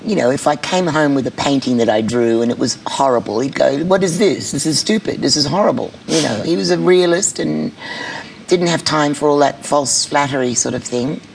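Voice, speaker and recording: A middle-aged woman with a husky voice, speaking bluntly and candidly as an interview guest. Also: low-pitched, a little hiss or hum, rough recording. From Australia. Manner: blunt, candid, laughs easily. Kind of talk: casual chat.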